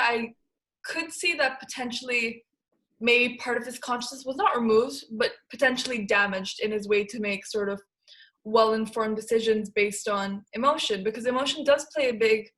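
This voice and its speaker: A young woman leads a casual group podcast over a call. Her voice sits a little high, and she talks loosely, with many fillers and restarts. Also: moderate pace, a bit bright, poor audio. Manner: hesitant, lots of fillers. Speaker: podcast host. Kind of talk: casual discussion on video call.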